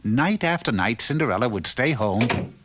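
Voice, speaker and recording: A man's voice: mid-range voice, lively intonation, normal speed, background noise, lightly acted. A man playing a droll storybook narrator, reading scripted fairy-tale narration for a cartoon. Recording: dull lo-fi old cartoon soundtrack.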